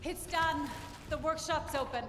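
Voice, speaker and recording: An actress playing a gentle, reassuring, devoted partner in a dramatic video game. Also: female voice, sits high, unhurried, heavy background noise. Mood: tender, reassuring. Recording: reverberant hall, music behind.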